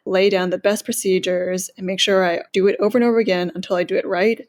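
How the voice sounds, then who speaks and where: A woman's voice: medium pitch, flat delivery, quick pace. Earnest woman, business seller, methodical and proud. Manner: earnest, organized, lists points in order; self-promoting, proud of her work. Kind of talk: podcast interview answers.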